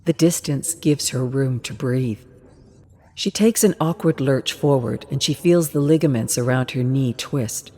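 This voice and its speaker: Female narrator, low-pitched and unhurried, for intense dramatic story narration. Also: warm tone.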